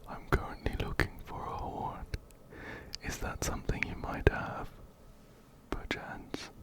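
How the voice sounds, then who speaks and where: A man's voice: measured pace, a bit bright, close to an everyday voice. A man voicing a mysterious, theatrical stranger in a video game, whispering close to the microphone with an affected, falsely innocent manner. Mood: feigned surprise. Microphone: close-up binaural microphone.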